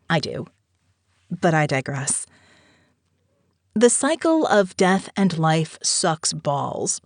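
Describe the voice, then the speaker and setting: Actress as tender-hearted candid woman, medium pitch, wry, conversational, first-person audiobook diary, close dry recording. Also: a little mellow, dry recording, natural voice. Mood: wry, smitten, then frank, philosophical.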